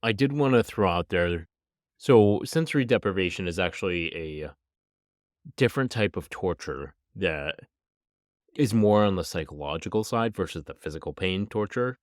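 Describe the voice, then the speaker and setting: A man's voice: low-pitched, animated, moderate pace, dry recording, studio quality. A man hosting a true-crime podcast in a chatty, apologetic way while sick with a stuffy, nasal voice. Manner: chatty and apologetic, with some restarts. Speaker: podcast host.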